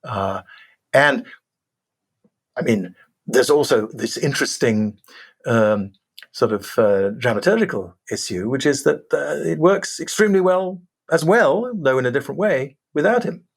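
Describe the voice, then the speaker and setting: A man's voice: mid-range voice, expressive, measured pace, gravelly, slightly rough. A man in an analytical discussion, feeling his way hesitantly toward precise phrasing. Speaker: theater discussion panelist.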